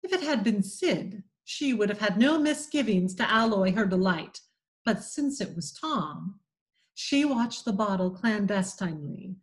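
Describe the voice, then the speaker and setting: A woman with a New England accent reading a classic novel aloud, in a measured, expressive storytelling manner. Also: medium pitch. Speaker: community reader.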